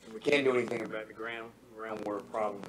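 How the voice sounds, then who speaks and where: A man's voice: on the low side, steady tone, fast talker. A man on a town committee, speaking earnestly at a public meeting while losing his voice and repeating his key points. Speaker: committee member. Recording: faint room noise, meeting hall.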